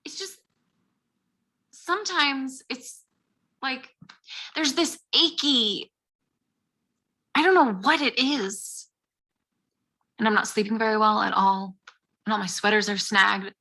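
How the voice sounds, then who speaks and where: A bright, forceful voice gives us a young woman anxious about pressure in her head. She vents in halting, emotional bursts, sharp at the edges. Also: on the high side, full character voice. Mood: frustrated. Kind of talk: short comedy film acting.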